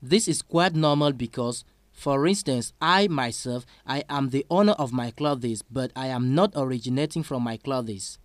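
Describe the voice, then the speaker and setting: A man interpreting a sermon line by line, slow and careful, with small slips. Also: medium pitch, no echo.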